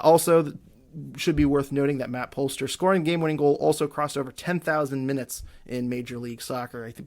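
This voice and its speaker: A man hosting a casual soccer podcast, chatting loosely with many filler words and self-corrections. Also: medium pitch. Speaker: podcast host. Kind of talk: casual sports podcast chat.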